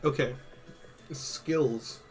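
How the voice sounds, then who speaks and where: A man doing casual, slangy live-stream commentary, thinking out loud, his voice a bit worn from hours of talking. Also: mid-range voice, drawn-out words, faint music behind. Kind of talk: casual live-stream commentary, ranking game tier lists.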